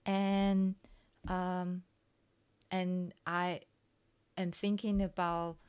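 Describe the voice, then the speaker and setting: A woman, a Mandarin speaker, talking softly and hesitantly through a coding tutorial with many fillers and pauses. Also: fairly low pitch, smooth. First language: Taiwanese Mandarin. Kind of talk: soft-spoken ASMR coding walkthrough.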